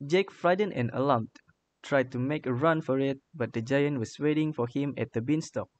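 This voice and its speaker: A man's voice: medium pitch, moderate pace. A man narrating a scripted fairy tale for a radio drama.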